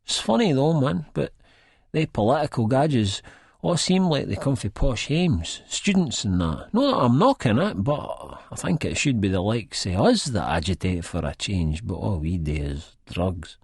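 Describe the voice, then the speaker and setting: Husky Edinburgh waster, nervous and rambling. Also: male voice, fairly low pitch, sing-song, normal speed, darkish tone, dry recording, studio quality, heavily acted. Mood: anxious, glum. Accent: Scottish, Edinburgh. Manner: rambling, colloquial, self-deprecating. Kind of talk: first-person audiobook narration in dialect.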